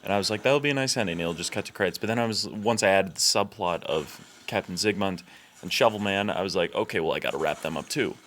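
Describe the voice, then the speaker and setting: A man's voice: low voice, fast, fairly bright tone, a little hiss or hum. A man giving casual, unscripted commentary as a filmmaker, with a blunt, informal, sweary way of talking.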